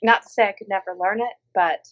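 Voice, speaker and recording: A woman's voice: mid-range voice, quick pace. Chatty American woman, slightly muffled. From Minnesota, USA. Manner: animated, drops into quoted voices. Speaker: audiobook narrator. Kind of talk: casual interview chat.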